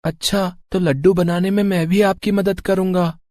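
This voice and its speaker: A man's voice: high voice, little pitch movement, moderate pace, warm tone, no echo, studio quality, voice pushed up, natural voice. A man voicing a curious, eager little boy in a cartoon moral story, childlike and inquisitive.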